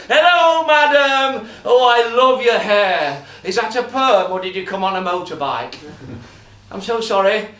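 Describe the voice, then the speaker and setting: An actor playing a brash, flirty pantomime dame who is an ugly sister, teasing the audience in a stage comedy. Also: male voice, high voice, a bit bright, full character voice. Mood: cheeky flirting. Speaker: stage performer. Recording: roomy and distant.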